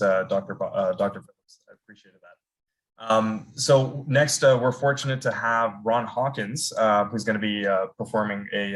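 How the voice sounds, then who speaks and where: A young man with a fairly low voice hosts an online event. He is upbeat and chatty, and his introductions are full of ums and restarts. He sounds casual and friendly. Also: fairly bright tone, poor audio. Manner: upbeat, filler-heavy introductions. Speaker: riding association president hosting the event. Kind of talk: casual event hosting on a video call.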